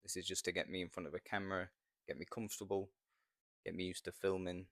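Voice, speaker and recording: A man's voice: deep voice, level tone, fast, a bit bright, no echo, studio quality. A man in his thirties with a Yorkshire accent, talking hesitantly and self-consciously into a small microphone in his first vlog. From England. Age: 30s. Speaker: vlogger. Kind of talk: nervous first vlog to camera.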